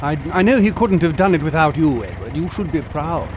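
An actor playing a distinguished older professor, drily amused, clubbable and formal, in a radio drama. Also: male voice, on the high side, big pitch swings, fairly quick, noisy background. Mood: genial, wry. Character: distinguished, wry English archaeology professor knight.

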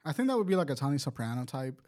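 A man's voice: medium pitch, big pitch swings, very fast, darkish tone. A man co-hosting a podcast in casual, emphatic banter, often repeating words to agree. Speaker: podcast co-host. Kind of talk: casual pop culture banter.

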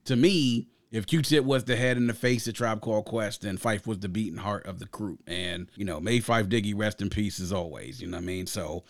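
Low-voiced man reviewing music. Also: brisk, hardly any pauses, no echo, clean studio sound. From Grenada. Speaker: podcast host and album reviewer. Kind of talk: casual music review chat.

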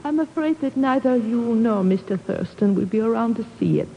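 Radio-drama villainess , female actor: icy, taunting commander, animated delivery, long reverb on a dull vintage recording. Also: fairly high pitch, moderate pace, slight background noise, close to an everyday voice. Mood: cold, triumphant. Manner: taunting, imperious, theatrical. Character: cold, mocking female spy chief and villain. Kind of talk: 1950s radio drama acting.

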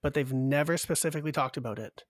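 A man's voice: a little high, quick pace, no echo, clean studio sound. A man co-hosting a podcast with a cold, chatting casually and walking through survey numbers in an upbeat way. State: has a cold. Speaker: podcast co-host. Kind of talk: casual chat.

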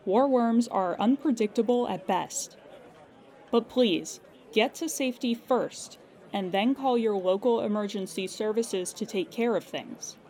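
A woman's voice: fairly high pitch, measured pace. A woman acting as a weary but patient emergency hotline operator in an audio comedy drama, polite and dryly professional. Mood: firm, reassuring. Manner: calm customer-service politeness with dry exasperation.

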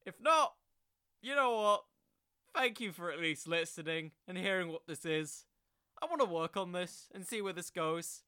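A man's voice: sits high, lively intonation, normal speed, sharp tone, clear, resonant tone, no echo, clean studio sound. A man hosting a podcast, speaking in a theatrical, hammy storytelling manner. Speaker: podcast host. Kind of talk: theatrical fantasy storytelling about pro wrestling, then casual rambling.

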